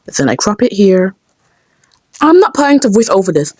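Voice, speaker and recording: A woman's voice: medium pitch, big pitch swings, moderate pace, darkish tone, no room sound, clean studio sound, faint music behind. A woman chatting casually and playfully muttering to herself as she makes videos. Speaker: video creator making comic dubs. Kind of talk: casual behind-the-scenes chat.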